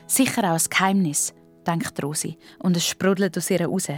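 A woman's voice: fairly low pitch, steady tone, normal speed, soft background music. A woman with a Swiss German accent giving scripted narration for a children's audio story.

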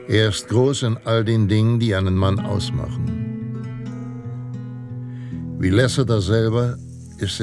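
An actor narrating a Western hero fantasy in a radio play, in a grave, heroic, deliberate manner. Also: male voice, lowish voice, vocal fry. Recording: loud guitar music underneath. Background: Western guitar music.

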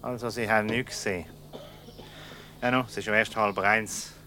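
Nosy blunt swiss german man, lowish but sharp voice, radio play. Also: fairly even intonation, normal speed. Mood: brusque, inquisitive. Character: brusque, inquisitive man searching for a couple. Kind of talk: Swiss radio comedy acting.

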